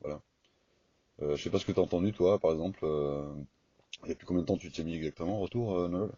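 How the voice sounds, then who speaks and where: A man speaking informally and haltingly as an amateur radio operator, in a casual chat over the air. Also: deep voice, warm tone. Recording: dull, echoey two-way radio recording.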